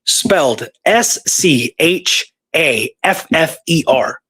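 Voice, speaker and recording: A man's voice: a little high, animated, takes it slowly, a bit bright, slightly rough. A man talking casually through historical research, rambling and informal, repeating points for emphasis. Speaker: history researcher and show guest or co-host.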